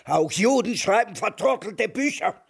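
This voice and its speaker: Embittered old man, radio drama, menacing sarcasm, slow delivery, wide emphatic swings. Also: sits high, expressive, creaky, slightly rough, exaggerated voice. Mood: indignant. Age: older. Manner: biting, sarcastic, theatrically emphatic. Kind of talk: German radio drama acting.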